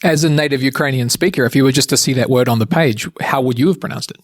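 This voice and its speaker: A man's voice: medium pitch, fast talker, no echo, clean studio sound. A man hosting a podcast, asking questions in an easygoing, wry manner. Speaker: podcast host. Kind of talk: interview questions.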